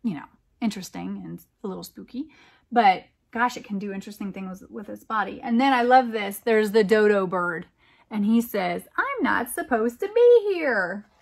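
A middle-aged American woman reading a picture book aloud to young children, with emphatic, deliberate phrasing and chatty asides. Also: medium pitch, expressive, gravelly. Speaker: children's storytime reader, teacher.

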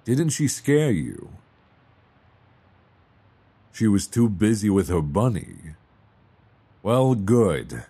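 A man playing a worried, tender mobster boyfriend in an intimate ASMR roleplay, halting and hesitant with sighs. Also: on the low side, sing-song, dark tone, husky, full character voice. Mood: flustered, exasperated.

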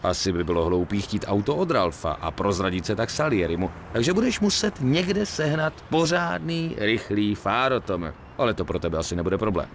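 A man's voice: on the low side, very animated, hardly any pauses, a little hiss or hum. A man voicing a weary, streetwise middle-aged gangster in a Czech video game dub. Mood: businesslike. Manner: colloquial, grumbling, swearing.

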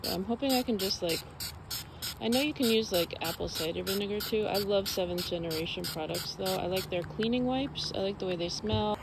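Young woman vlogging in the woods, kinda creaky voice, rambly with lots of "like" and "um". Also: mid-range voice, brisk, background noise. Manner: chatty, thinks aloud with many fillers. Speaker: car-living vlogger. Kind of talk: casual vlog chat while camping. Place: outdoors in a forest campsite. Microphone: handheld phone camera at arm's length.

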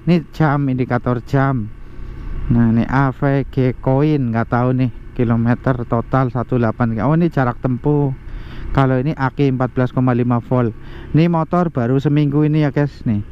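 A man chatting excitedly to viewers while riding a motorbike outdoors, recorded on a bike-mounted action camera. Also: medium pitch, normal speed. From Central Java, Indonesia. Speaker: motovlogger. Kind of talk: casual ride-along motorcycle review. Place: outdoors on a moving motorbike, quiet residential streets. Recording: muffled action-camera audio with light road noise.

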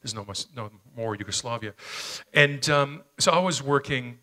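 A man's voice: medium pitch, expressive, normal speed, sharp tone. A middle-aged man with a Canadian accent, whose first language was Slovenian, preaching a sermon on a church stage into a headset mic, telling personal stories earnestly with frequent hesitations. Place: church stage, medium-sized hall.

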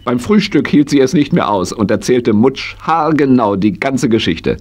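A man's voice: medium pitch, very animated, normal speed. A man playing the narrator of a children's audio play, reading a scripted story. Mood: narrating. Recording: noisy vintage audio play recording.

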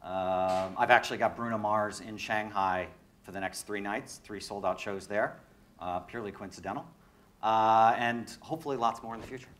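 A man in his forties talks about his work on a conference panel stage. His voice sits a little low, and he speaks briskly and confidently through a handheld microphone. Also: steady tone, fairly bright tone. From New York. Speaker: panelist, concert promoter. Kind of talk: panel discussion.

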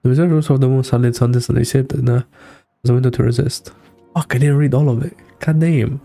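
A man's voice: medium pitch, steady tone, fast talker, mellow, faint music behind. A young man streaming a game from a small room, reacting casually in a rambling, muttering, playfully exasperated way. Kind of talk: casual live gameplay reaction.

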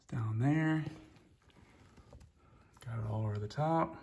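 A man casually showing a chainsaw filter in his garage workshop, talking in a relaxed, rambling way with lots of fillers, recorded on a phone held close. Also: mid-range voice, takes it slowly. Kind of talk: casual hands-on show-and-tell. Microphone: phone camera held close.

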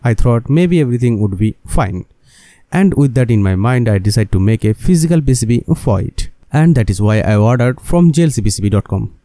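A man's voice: medium pitch, mellow, no echo. A man who speaks English as a second language, narrating a scripted DIY electronics tutorial and addressing viewers directly. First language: not English. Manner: non-native phrasing, addresses viewers as guys. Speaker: electronics hobbyist presenter.

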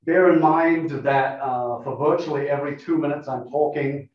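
A man with a funny non-American accent giving a measured lecture to a club meeting, pausing often with fillers. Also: fairly high pitch, steady tone. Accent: non-American English. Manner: measured, explanatory, with frequent uh fillers. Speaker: guest speaker, professor. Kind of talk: lecture talk with slides. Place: meeting room. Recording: echoey room, distant, dull lo-fi.

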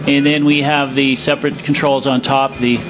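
A man explaining a demonstration in a measured, matter-of-fact way, with a radio playing. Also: mid-range voice, flat delivery, low-quality recording. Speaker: presenter demonstrating a vintage radio. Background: radio playing music and stations.

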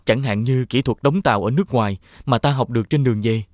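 A man playing a noble, honourable young prince in an animated fairy tale, speaking earnestly and formally. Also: medium pitch, fast, no echo, studio quality, natural voice.

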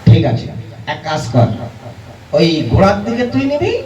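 A man voicing a gruff, scolding, scheming elder brother in a folk comedy puppet show. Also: high-pitched, wildly swooping pitch, moderate pace, a little mellow, slight background noise. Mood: wheedling, bargaining. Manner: scolding, blustering. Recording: roomy echo, distant microphone.

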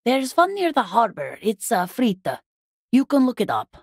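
A woman playing a weary, guarded working class wife and mother in a video game, husky, plain-spoken and exasperated. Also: on the high side, huge pitch swings, normal speed, dry recording, studio quality, heavily acted. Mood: defensive, irritated. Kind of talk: video game character dialogue.

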